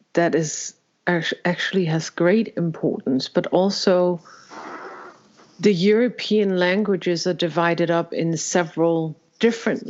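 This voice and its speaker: A Danish-accented woman hosts a podcast, speaking very slowly with hesitant restarts. Her voice is fairly low for a woman. The recording is dull and lo-fi. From Denmark. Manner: hesitant, many fillers and restarts. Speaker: podcast host. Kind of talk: interview conversation.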